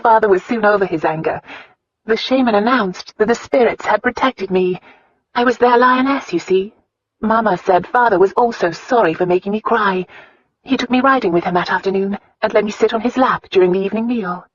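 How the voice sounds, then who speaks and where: A woman voicing a spirited, candid young wife with an earnest, expressive way of talking in a romance audiobook. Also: medium pitch, fairly quick, no echo, lo-fi sound, voice pushed up, lightly acted. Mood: matter-of-fact.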